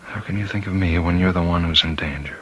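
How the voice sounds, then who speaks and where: An actor playing a tormented prison warden in an old-time radio drama, halting and repeating himself in a husky voice, as a husband who fears he is possessed. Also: deep voice, a bit bright, lo-fi sound, a little hiss or hum. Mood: desperate, menacing. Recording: vintage radio drama recording.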